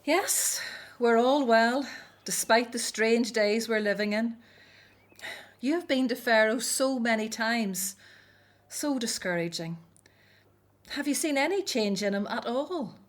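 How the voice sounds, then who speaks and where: A woman's voice: a little high, slow, pauses often. A woman acting in a radio drama as a caring, anxious, devout Hebrew elder sister, speaking earnestly and expressively. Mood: welcoming, concerned.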